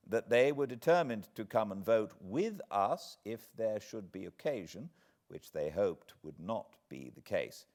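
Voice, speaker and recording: A British man narrates a literary audiobook in a very low voice. His intonation moves widely and keeps the prose lively. He phrases each clause deliberately, in the measured manner of a practiced storyteller. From Britain.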